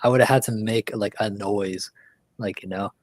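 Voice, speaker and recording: Male stream host, low-pitched, dry close mic, loose rambling chat that dips into near whispers. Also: steady tone, normal speed, dry recording. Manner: rambling, filler-heavy, drops to near whispers. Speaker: livestream host. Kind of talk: casual chat.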